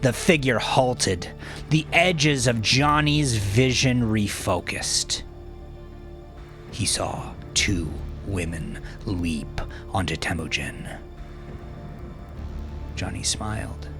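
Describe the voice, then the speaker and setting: A man reading scripted fiction in a dramatic, measured way, dropping into hushed, breathy phrase endings. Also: lowish voice, over music. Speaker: audiobook narrator.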